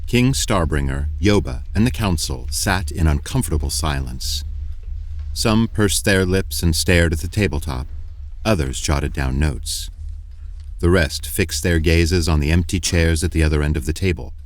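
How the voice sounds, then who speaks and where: A man giving measured, formal scripted narration for an audio drama. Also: very deep voice, no room sound.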